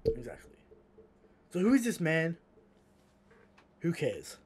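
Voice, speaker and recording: A man's voice: a little high, sing-song, slow, crowd noise. A man with an Australian accent, co-hosting a podcast in casual chat, blunt and swearing freely as he rants. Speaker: podcast co-host.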